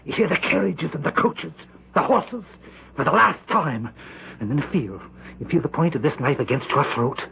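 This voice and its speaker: An actor playing a tormented, bitter husband gripped by a murderous obsession, speaking intensely and haltingly in an old-time radio drama. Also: male voice, fairly high pitch, expressive, vocal fry, slight background noise. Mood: menacing, contemptuous. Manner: halting, intense, repeats phrases. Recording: muffled old radio recording.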